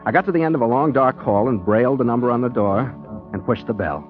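A chipper private eye, played by a man tells his tale in old radio drama style. His voice is medium-pitched and animated, breezy and guileless, on a muffled recording with music behind. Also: quick pace, natural voice. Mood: chipper, smug. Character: chipper, naive, self-satisfied amateurish male private detective narrating. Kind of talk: 1940s radio acting, first-person narration.

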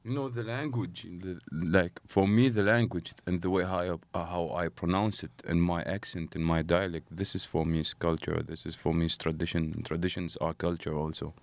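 Deep-voiced man with Arabic accent. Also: steady tone, no room sound. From Syria. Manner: halting, searching for words. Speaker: musician guest. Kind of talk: casual interview answers.

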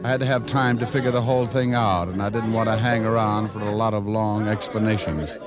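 An actor playing a wisecracking, world-weary private detective in an old radio drama, with a wry, deadpan manner. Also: male voice, on the low side, a little fast, over music, natural voice. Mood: grim, terse. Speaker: actor narrating and playing the lead. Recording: vintage radio broadcast recording.

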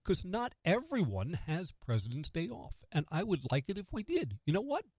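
A man's voice: sits high, huge pitch swings, normal speed, a little mellow, dry recording, clean studio sound. An American man hosting morning talk radio, delivering a conversational opinion monologue and putting rhetorical questions straight to the listener. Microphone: close studio radio microphone.

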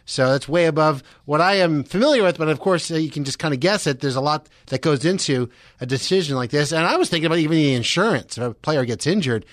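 A man's voice: a little high, animated, quick pace, dry recording, studio quality. A man hosting a radio show, giving sports and weather updates in a conversational way. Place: radio studio.

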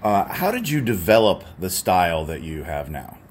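Animated American male interviewer, lowish voice. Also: normal speed. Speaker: radio host interviewing. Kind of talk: casual interview. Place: stadium radio booth. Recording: clear steady ambient noise behind the voice.

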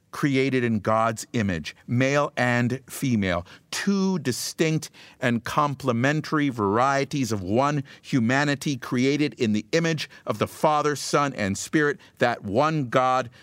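A male preacher delivers a devotional message at an unhurried, deliberate pace. His voice sits at an ordinary pitch for a man, and he builds his points with emphatic, rhythmic repetition. The recording is close and dry, with no room sound. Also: fairly bright tone, clear, resonant tone, dry recording.

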